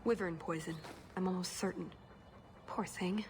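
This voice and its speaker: An actress playing a brash, playful huntress in a fantasy game, blunt and cheeky in manner. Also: female voice, on the low side, fairly even intonation, normal speed, a little mellow, a little hiss or hum, lightly acted. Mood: casual, blunt. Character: brash, playful female huntress, eager adventurer.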